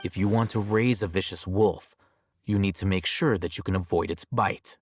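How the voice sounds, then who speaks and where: An actor playing an aloof, coolly logical young male scholar in a video game, measured, detached and matter-of-fact. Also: low-pitched, breathy edge, dry recording. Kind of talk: video game character dialogue.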